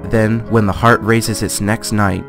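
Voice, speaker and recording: A man giving a theatrical, deliberate dramatic reading of a fantasy guidebook. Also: fairly low pitch, flat delivery. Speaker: let's play gamer. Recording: guitar music behind voice. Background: guitar music.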